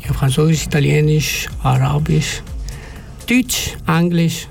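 Male rapper from Tunisia, high voice with a rough fry edge, easygoing, faint music under. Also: unhurried. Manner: relaxed, switches languages. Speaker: guest, music producer and rapper. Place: radio studio.

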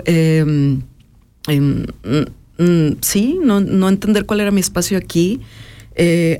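A woman, talking thoughtfully as an interview guest and pausing often with filler sounds. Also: lowish voice, dark tone. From Mexico. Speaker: interview guest, artist and educator. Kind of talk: personal reflective conversation.

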